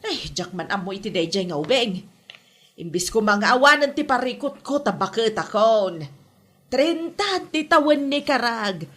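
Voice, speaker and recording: A woman's voice: fairly high pitch, sing-song, normal speed, fairly bright tone. A woman playing an exasperated, worried village mother of a grown son, with an Ilocano Filipino accent, in a comedy audio drama.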